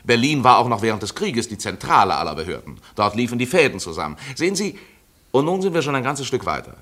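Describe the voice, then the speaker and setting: An actor playing a determined, confident investigator in a radio drama, speaking precisely and persuasively. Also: male voice, mid-range voice, big pitch swings, quick pace, a bit bright. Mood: determined, reasoning. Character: determined, confident male investigator from New York. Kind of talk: German radio drama acting.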